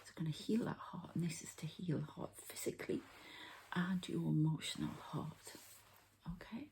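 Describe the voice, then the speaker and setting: Woman, breathy ASMR whisper, gravelly creak, soft dark tone, gentle healing host. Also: lowish voice, moderate pace. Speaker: ASMR host and energy healer.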